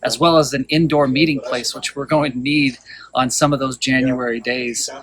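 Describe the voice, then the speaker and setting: A man's voice: mid-range voice, steady tone, normal speed, crowd noise, low-quality recording. A man in his thirties from Colorado giving an earnest, heartfelt personal testimony about a men's retreat. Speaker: retreat participant.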